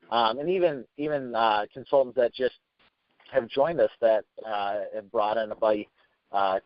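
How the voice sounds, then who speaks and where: A man hosting a business training call, giving an informal motivational talk with halting, repetitive, hesitant phrasing. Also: mid-range voice, no room sound. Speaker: sales team leader hosting a training conference call. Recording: muffled conference phone call.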